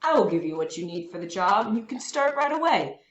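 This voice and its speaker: A woman's voice: mid-range voice, very animated, a little fast, dull audio, close to an everyday voice. Woman reading as a firm storybook elevator man. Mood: firm instructive. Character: brisk male elevator man, bossy but welcoming. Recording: roomy echo, faint background noise.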